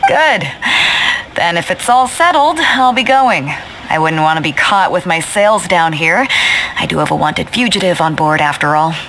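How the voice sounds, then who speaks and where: A woman's voice: on the low side, lively intonation, normal speed, fairly bright tone, a little hiss or hum, rough recording. A woman playing a confident, teasing female fleet captain in a video game, commanding yet breezy. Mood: brisk, friendly.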